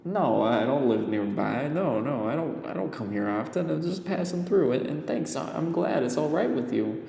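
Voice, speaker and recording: A man's voice: mid-range voice, expressive, normal speed, gravelly, dark tone, slight background noise, voice pushed up. A man voicing a friendly teenage boy who turns nervous and stammers when pressed. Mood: wary. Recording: roomy long reverb, faint ambience.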